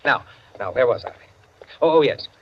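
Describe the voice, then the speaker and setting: A man's voice: mid-range voice, animated, normal speed, close to an everyday voice. An actor playing a genial, opinionated clubman who holds forth pompously in a 1940s radio drama style. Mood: pompous, lecturing. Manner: pompous, declamatory, hesitant asides. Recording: dull, hissy old radio recording.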